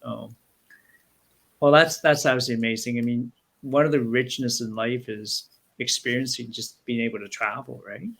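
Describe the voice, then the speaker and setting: A man's voice: mid-range voice, steady tone, normal speed, vocal fry, fairly bright tone. Enthusiastic man hosting. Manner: enthusiastic, stacks praise, filler-heavy with restarts. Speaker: interview host. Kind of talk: casual interview hosting.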